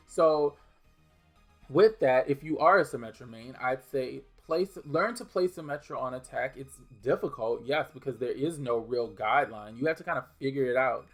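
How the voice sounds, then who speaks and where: A young man from New Orleans with a mild New Orleans accent, chatting casually and bluntly while answering viewer questions, with restarts and fillers. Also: medium pitch. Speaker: gaming video creator. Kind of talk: casual Q&A chat.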